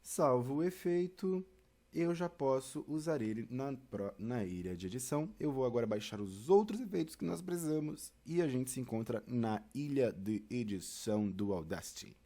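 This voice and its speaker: A man with a Brazilian accent and a medium-pitched voice walks the listener through a tutorial. His delivery is informal and chatty, like someone explaining each step directly to a beginner. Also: very animated, moderate pace, warm tone. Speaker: theatre and audio tutorial presenter.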